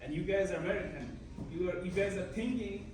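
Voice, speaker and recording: A man with a slightly high voice speaks haltingly to a community crowd, restarting often. He sounds far from the mic in a very echoey room with constant noise. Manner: hesitant, many restarts and "like" fillers. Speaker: guest speaker. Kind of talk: informal speech to a community gathering. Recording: echoey hall from far off, loud chatter.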